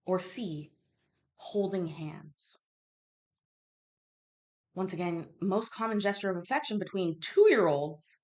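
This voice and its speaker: A woman's voice: lowish voice, expressive, slow pace, long pauses, a little mellow. Candid, sweary woman hosting trivia. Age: 30s. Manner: candid, sweary, self-interrupting. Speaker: host of a live online trivia chat. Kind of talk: casual livestream chat, reading quiz questions aloud.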